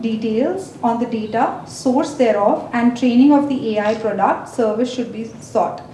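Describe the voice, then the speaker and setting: A woman with an Indian accent explains legal points to a conference audience in a measured, careful way, pausing on fillers. Her voice sits slightly high. It reaches the recorder from across a reverberant hall with a faint hiss underneath. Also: warm tone, distant mic. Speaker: legal expert speaker. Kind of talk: conference presentation. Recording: echoey hall, speaker off-mic, faint noise.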